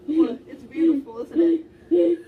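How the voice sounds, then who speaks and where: A woman's voice: high-pitched, a little slow, narrow, tinny audio. A woman in casual, joking chat as a co-commentator. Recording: distant mic with clear background noise.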